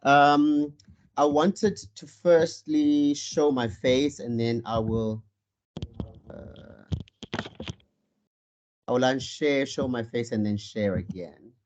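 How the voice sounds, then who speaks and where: A South African man speaks over a video call, his voice slightly muffled and dulled by the line. He talks at a middle pitch, pausing on ums and correcting himself as he presents. He is a life coach introducing his work. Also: darkish tone, a little hiss or hum. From Cape Town, South Africa. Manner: friendly, frequent ums and self-corrections. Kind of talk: online presentation.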